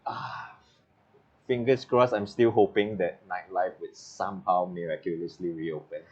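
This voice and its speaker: A man's voice: low-pitched, steady tone, unhurried, slightly rough, lo-fi sound. A man with a Singaporean English accent speaking as a guest on a panel, laid-back and a little hesitant, often laughing. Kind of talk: panel interview chat.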